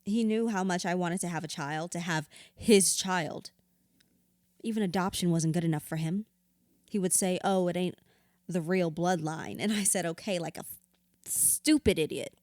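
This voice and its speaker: A woman's voice: fairly low pitch, normal speed, darkish tone, dry recording, clean studio sound, close to an everyday voice. An actress playing a hurt, bitter widow confiding in her therapist in an audio drama, raw, blunt and sweary. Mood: hurt, indignant. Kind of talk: audio drama acting, confessional dialogue.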